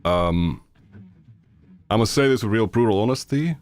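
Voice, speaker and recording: Deep-voiced Finnish man, blunt and rambling. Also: lively intonation. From Finland. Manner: rambling, halting with many fillers, blunt and profane. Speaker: streamer reacting to videos. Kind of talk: casual reaction commentary.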